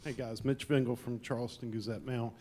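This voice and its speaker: A man's voice: medium pitch, steady tone, brisk, a little mellow, a little hiss or hum. A male sports reporter asking plain, direct questions at a press conference.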